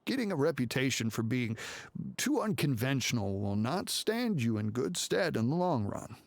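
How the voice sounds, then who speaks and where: An actor playing a condescending professor in a video game, lecturing in a patronizing way. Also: male voice, medium pitch, big pitch swings, moderate pace, vocal fry, no room sound. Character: condescending older male professor.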